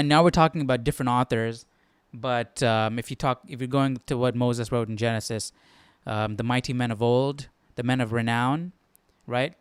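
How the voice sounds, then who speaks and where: A man's voice: medium pitch, smooth, no echo. A man hosting a Bible study in an informal way, thinking aloud and often checking in with the listener. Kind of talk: casual live study commentary.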